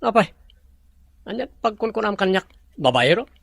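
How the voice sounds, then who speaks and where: A man with a Filipino Ilocano accent acting a courteous, self-assured gentleman in an audio drama, with polite, probing speech. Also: high voice, very animated, moderate pace, vocal fry, no echo, full character voice. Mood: questioning.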